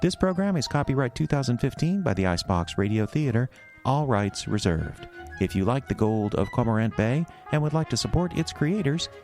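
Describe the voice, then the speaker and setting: A man's voice: mid-range voice, very animated, brisk, darkish tone, faint music behind. A man narrating an audio drama in a storytelling style, as its narrator and announcer.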